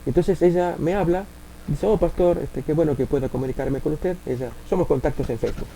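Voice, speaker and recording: A man with an Argentine accent, a pastor preaching a sermon and telling stories, with many pauses and filler sounds. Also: a little high, creaky, mellow, slight background noise, rough recording. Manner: many filler pauses, acts out quoted voices. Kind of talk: sermon with spoken anecdotes.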